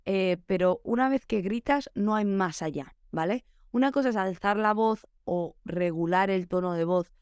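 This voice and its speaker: A woman's voice: medium pitch, normal speed, darkish tone, smooth, no echo, clean studio sound. A young adult woman hosting a solo podcast for teachers, chatty and reflective, giving practical advice.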